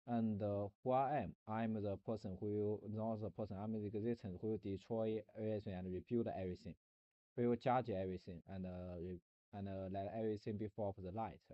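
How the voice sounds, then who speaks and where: A man with a fairly low voice delivers a meandering philosophical monologue on a stream, stopping to search for words and circling back over the same phrases. The sound is dry and close, with a dull, lo-fi stream quality. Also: fairly even intonation, no echo. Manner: halting, searching for words, many restarts and repetitions.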